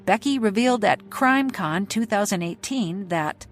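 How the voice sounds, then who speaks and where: A woman hosting a podcast, reading scripted true-crime narration in a measured, even way. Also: medium pitch, faint music behind. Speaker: podcast host.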